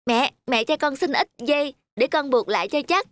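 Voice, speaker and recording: A woman's voice: sits high, expressive, moderate pace, fairly bright tone, no echo. A woman voicing an eager, friendly, caring young boy in a cartoon. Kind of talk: cartoon dubbing.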